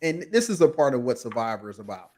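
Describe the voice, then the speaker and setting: A man hosting a relaxed podcast recap, keeping the talk moving with an animated, easy laugh. His voice sits at an ordinary middle pitch. He sounds chatty and in charge. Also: fast talker. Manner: animated, laughs easily, leads the conversation. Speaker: podcast host. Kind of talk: casual recap chat.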